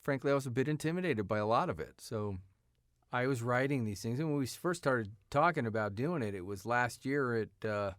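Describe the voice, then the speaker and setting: A man's voice: medium pitch, expressive, fairly quick, fairly bright tone, no echo, studio quality. A man talking casually as a writer and actor being interviewed, in an informal, conversational way. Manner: informal, often checks with "you know". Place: sound studio.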